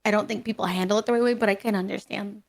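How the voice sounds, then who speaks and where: A young woman speaks openly as a guest in a casual interview, chatty and frank, filling her sentences with "like." Her pitch sits in a middle range. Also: steady tone, racing, creaky. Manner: candid, chatty, uses "like" often. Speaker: streamer guest.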